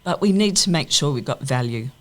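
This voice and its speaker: A woman's voice: sits low, lively intonation, brisk. A woman speaking enthusiastically as a deputy mayor and guest in a casual radio interview.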